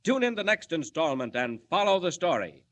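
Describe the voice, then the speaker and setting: A man narrates a 1940s radio serial with theatrical urgency. His bright, slightly high voice is forceful and sweeps up and down dramatically. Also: sing-song, a little fast.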